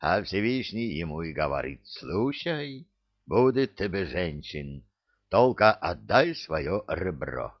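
A wheedling, rambling huckster spirit from a comic fantasy story, with a thick Georgian accent. His broken grammar rides on a very lively, swinging pitch. The recording is a bit dull and lo-fi. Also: slow, heavily acted. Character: hook-nosed Caucasian-style huckster spirit in Native costume, whining. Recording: dull lo-fi audio.

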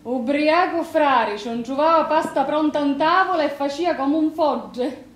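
An actress playing a blunt, scolding sister with a Sicilian accent in an expressive piece of dialect theatre. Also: female voice, high-pitched, measured pace. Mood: reproachful. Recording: roomy theatre sound with background noise.

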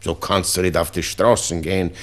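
An actor playing an anxious, doting old father with a Viennese accent in a radio drama, pleading haltingly and repeating himself. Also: sits low, steady tone, close to an everyday voice. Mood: anxious, tender. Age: older. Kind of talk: 1960s German-language radio drama acting.

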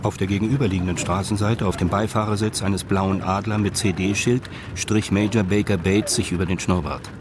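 A man's voice: on the low side, fairly even intonation, moderate pace, few pauses, background noise. A man delivering scripted narration for a radio crime drama.

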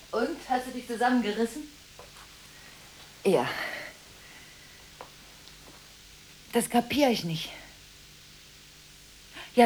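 Low-voiced, volatile woman on the phone. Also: slight background noise, exaggerated voice, rough recording. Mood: tense, impatient. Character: volatile, emotionally contradictory married woman on frantic phone calls. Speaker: actress in a radio play. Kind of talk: 1980s German radio drama monologue.

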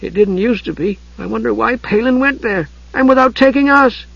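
A small fantasy kender, with a high-pitched, squeezed voice. He is childlike, chatty and guileless, in a storybook reading. The audio is slightly muffled. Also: normal speed, vocal fry, breathy edge, no room sound, exaggerated voice. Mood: subdued, puzzled.

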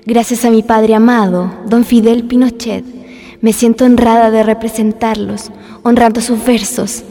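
A woman's voice: fairly high pitch, steady tone, measured pace, mellow. A woman playing a gracious young poetry queen making a formal speech in a radio play. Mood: grateful, honoured. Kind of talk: radio theatre.

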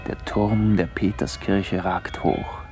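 A man's voice: low-pitched, steady tone, slow, music in the background. A man reading literary scripted narration in a detached, observational manner for a radio play.

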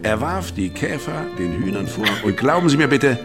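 Suave, lively gentleman baron. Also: mid-range voice, normal speed. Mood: genial, teasing. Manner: gallant, courtly, teasing. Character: suave male baron and world traveller, flirtatiously charming. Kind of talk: period radio drama acting. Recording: roomy studio drama with music behind.

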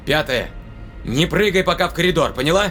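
A man's voice: on the high side, animated, a little fast, a bit bright, soft background music. An actor playing an urgent, demanding male spaceship captain in an audio drama. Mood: commanding.

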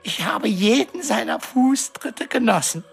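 An actress playing a small, fat, fawning demon servant with pompous, flowery courtly speech in a radio drama. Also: female voice, on the high side, animated, a little slow, vocal fry, slightly rough, full character voice. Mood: fawning, nostalgic. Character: small fat warty green demon servant, fawning, cheeky, self-important.

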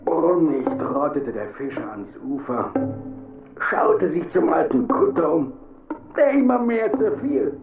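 A man's voice: fairly high pitch, lively intonation, unhurried, vocal fry. A man narrating a fairy tale in a dramatic storytelling style. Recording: muffled lo-fi, echoey, distant, faint music.

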